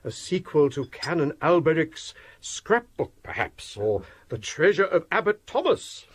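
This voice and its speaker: An actor playing a genial middle-aged Edwardian Cambridge scholar in a radio drama, speaking in a formal storyteller's manner with an English accent. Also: male voice, on the high side, big pitch swings, breathy edge, no room sound, heavily acted. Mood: genial, teasing. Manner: formal, measured, theatrical storyteller.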